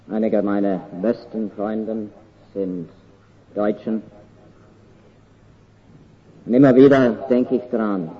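An older man from Canada with an English accent, his first language English, preaching a sermon in an earnest, slow and deliberate way with halting pauses. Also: fairly low pitch, highs cut off.

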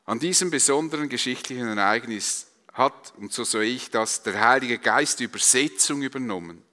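A man's voice: medium pitch, moderate pace, pushed and bright. A man with a Swiss German accent preaching a sermon to a congregation, emphatic, with rhetorical repetition and questions. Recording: long hall reverb.

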